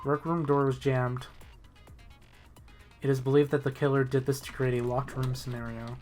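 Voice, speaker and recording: A man's voice: medium pitch, level tone, normal speed, a little mellow. Male playthrough host, mid-range, narrating a story game and chatting casually, music underneath. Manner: sweary. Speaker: host reading a game aloud.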